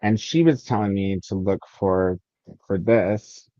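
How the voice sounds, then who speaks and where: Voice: low-pitched, unhurried, warm tone, no echo, highs cut off. A host chatting casually and reading out chat on a livestream, with a joking, sweary way of talking.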